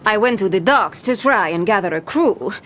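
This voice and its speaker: A woman's voice: medium pitch, expressive, normal speed, fairly bright tone, slight background noise, close to an everyday voice. A woman playing a bold, boastful young pirate captain in an audio drama, with theatrical, swaggering pirate talk. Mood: excited, swaggering.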